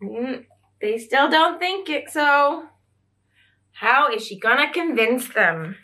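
Young woman, children's storyteller, highish bright voice, unhurried, very expressive, questioning. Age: young adult. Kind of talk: animated picture-book read-aloud for children. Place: small indoor room.

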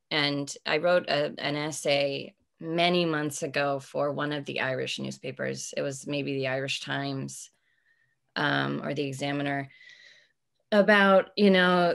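A woman's voice: low-pitched, vocal fry. A woman in her forties, an author answering interview questions over a video call from home, thoughtful and searching, with many fillers and restarts. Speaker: author guest. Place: home room with bookshelves. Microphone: video call webcam.

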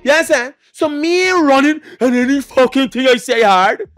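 A man's voice: very high voice, expressive, normal speed, a bit bright, soft background music. A middle-aged man with a Guyanese accent in an uncensored podcast debate, emphatic, profane and repetitive, often cutting in. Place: small indoor lounge studio. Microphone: podcast microphone on boom arm.

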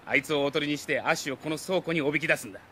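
A man playing a scheming young gang leader in a radio drama, rough, commanding and menacing. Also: fairly high pitch, very fast, sharp tone, a little hiss or hum. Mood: cold, commanding.

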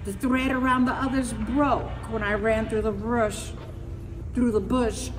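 A middle-aged woman narrating a storybook in an expressive, dramatic, emphatic way. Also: fairly high pitch, a little slow. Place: large indoor market hall. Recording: echoey hall, music behind.

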